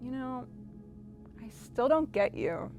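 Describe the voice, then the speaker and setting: An earnest artist played by a woman in a naturalistic audio drama. Her voice is fairly high, and she speaks casually and haltingly, anxious but sincere. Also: a little mellow, soft background music. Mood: curious.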